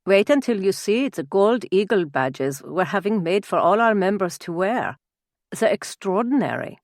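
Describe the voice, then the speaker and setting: A man's voice: high voice, animated, moderate pace, no room sound. A proud old-world baron, acted by a woman speaks in an emphatic German accent. The fiery military man sounds close and dry, as a character in a historical audiobook. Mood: boastful.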